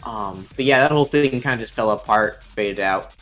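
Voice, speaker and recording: A man's voice: fairly low pitch, moderate pace, fairly bright tone, faint music behind. A young man with a nasal voice, chatting casually on a live stream, rambling with many ums and restarts. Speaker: stream co-host.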